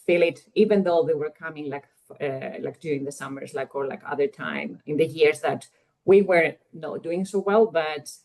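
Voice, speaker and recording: A woman's voice: deep voice, normal speed, darkish tone. A woman from Greece with a Greek accent, chatting as a guest on an interview show and filling her sentences with "like". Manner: eager, leans heavily on "like" fillers. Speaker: guest economist. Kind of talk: casual interview chat.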